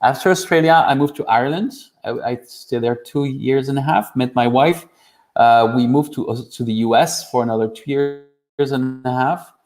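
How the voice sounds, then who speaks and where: A man's voice: medium pitch, moderate pace, darkish tone. A man in his forties from France, with a French accent, speaking expressively as an interview guest and telling personal anecdotes in casual chat. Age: 40s. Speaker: interview guest, boardgame publisher.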